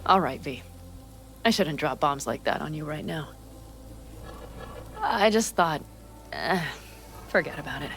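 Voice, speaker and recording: Young nomad gal from a video game, lowish voice, blunt but heartfelt, music behind. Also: female voice, lively intonation, unhurried, long pauses, a bit bright. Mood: earnest, warm. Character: fiery, earnest young female nomad clan member. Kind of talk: cinematic video game acting.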